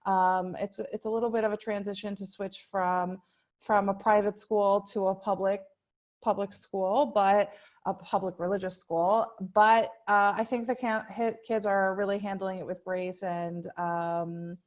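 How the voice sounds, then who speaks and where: A woman's voice: mid-range voice, level tone, measured pace, darkish tone. A woman in her thirties from New York, talking casually as a guest on a video call, with many restarts and fillers. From New York, USA. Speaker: panel guest.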